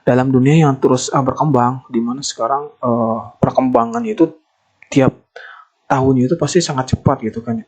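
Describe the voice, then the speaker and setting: A young adult man, giving a semi-formal slide presentation as a student, with hesitant, halting phrasing and frequent pauses. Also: mid-range voice, vocal fry, darkish tone, husky. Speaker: master's student presenting an assignment.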